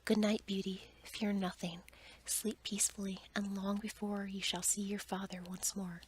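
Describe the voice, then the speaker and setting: A woman voicing a gentle, devoted, sorrowful male beast in a solemn, earnest whisper for an ASMR bedtime story. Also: mid-range voice, flat delivery, normal speed, a little hiss or hum, close to an everyday voice.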